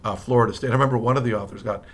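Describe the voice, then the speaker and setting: A middle-aged man from Miami with an American accent, telling anecdotes as an interview guest in a halting, conversational way that often circles back to rephrase. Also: mid-range voice, fairly even intonation. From Miami, United States. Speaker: interview guest, history professor. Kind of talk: casual interview, anecdotal storytelling. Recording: noticeable background noise.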